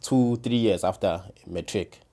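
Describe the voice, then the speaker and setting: A man in his thirties talks casually as a podcast guest. His voice sits on the low side, and he often stops to restart and repeat his words. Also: unhurried, warm tone. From South Africa, KwaZulu-Natal. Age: 30s. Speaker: podcast guest, former defense attorney. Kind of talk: casual interview chat.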